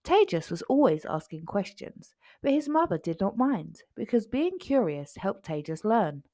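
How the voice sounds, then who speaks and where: Children's story narrator: woman, animated intonation, expressive read-aloud, dry close recording. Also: mid-range voice, moderate pace, no echo.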